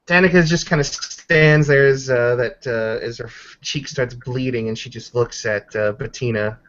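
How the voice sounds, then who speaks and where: A man narrating a tabletop roleplaying game as game master, halting and descriptive, calling out dice rolls. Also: mid-range voice, fairly even intonation, a bit bright, dull audio.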